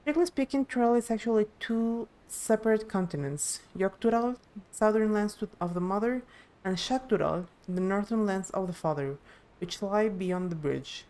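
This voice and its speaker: A woman's voice: medium pitch, expressive, moderate pace, dark tone, natural voice. A woman voicing a proud, eager young princess who guides visitors in a video game, earnest and explanatory. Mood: storytelling. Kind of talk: live video game dialogue reading.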